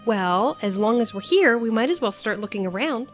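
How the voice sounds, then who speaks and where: A woman's voice: a little high, expressive, normal speed, soft background music, natural voice. A woman acting in an audio drama as a curious, cheeky young travelling companion with a light, teasing manner.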